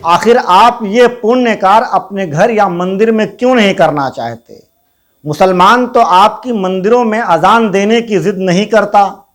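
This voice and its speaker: A man delivering scripted political commentary in a formal, rhetorical, pointed manner. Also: high voice. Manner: rhetorical, pointed questioning, formal Urdu-laced wording. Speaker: political commentary channel host.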